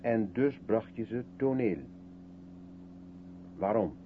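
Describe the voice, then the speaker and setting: Through a narrow, clearly hissy old radio recording comes a sceptical man with a mid-pitched voice. He questions in a measured, dryly ironic way, probing as radio drama dialogue does. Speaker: radio play actor. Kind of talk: Dutch 1970s radio drama acting. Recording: muffled, noisy 1970s radio recording.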